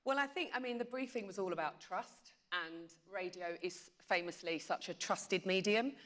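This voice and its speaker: A woman giving measured, explanatory answers in a panel discussion. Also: mid-range voice, a bit bright. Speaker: media company panelist.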